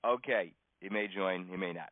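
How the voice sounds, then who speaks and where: Halting male rabbi on a dull line. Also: low-pitched, no echo. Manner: halting, stammering restarts and frequent pauses. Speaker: rabbi teaching a class. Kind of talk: informal religious lesson, unscripted storytelling. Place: teaching over a conference phone call. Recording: dull, dry conference call line.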